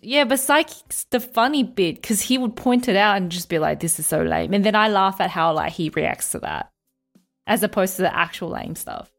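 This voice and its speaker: A woman co-hosting a casual podcast, playful and quick with comebacks. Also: medium pitch, very animated, soft background music. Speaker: podcast co-host. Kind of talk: casual chat.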